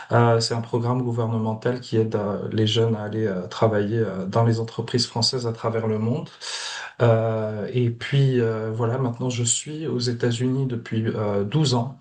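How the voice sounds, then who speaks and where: A Moroccan-born man in his thirties talks over a video call at a middling pitch. He speaks hesitantly, stopping often on filler sounds as he tells his story. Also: darkish tone. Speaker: guest activist. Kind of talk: casual video call interview.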